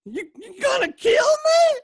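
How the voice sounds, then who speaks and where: Brief: a performer as a beaten male prisoner in a Western audio play, shrieking high, cursing, wildly swooping. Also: very animated, a little slow, shouting, exaggerated voice. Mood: agonised, furious. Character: beaten male captive, foul-mouthed, screaming in pain. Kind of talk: Western radio drama acting.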